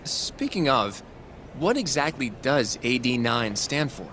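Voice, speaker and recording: Earnest young lawyer, mid-pitched. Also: male voice, big pitch swings, a little slow, a bit bright, slight background noise. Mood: curious. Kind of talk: English game dub acting. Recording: music underneath.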